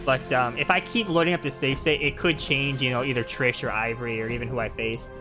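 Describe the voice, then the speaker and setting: A man's voice: medium pitch, fairly even intonation, fairly quick, over music. A man doing casual live commentary on video game footage, talking in an informal way.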